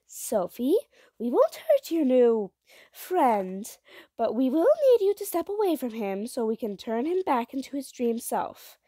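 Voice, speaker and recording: Storybook mother, woman performer: protective, firm and deliberate, with lively emphatic swings in pitch. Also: no room sound.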